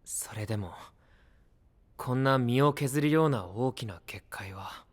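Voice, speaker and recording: A man voicing a calm, earnest young male knight who questions gently, over background music. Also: medium pitch, unhurried. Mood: concerned. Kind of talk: anime voice drama acting.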